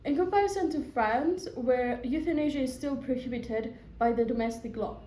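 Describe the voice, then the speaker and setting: A woman's voice: high voice, moderate pace, warm tone. Young Greek woman reading a law presentation. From Greece. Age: young adult. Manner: reads a prepared script with small slips. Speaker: law student. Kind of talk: read-aloud presentation. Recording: echoey room with faint noise.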